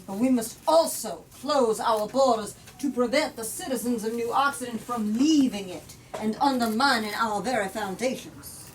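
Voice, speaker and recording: A woman's voice: fairly high pitch, moderate pace, few pauses, natural voice. Woman reading as a pompous male politician, distant mic. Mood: pompous, stern. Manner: declamatory, formal oratory. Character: pompous alarmist male politician giving a speech. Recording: distant mic with background noise.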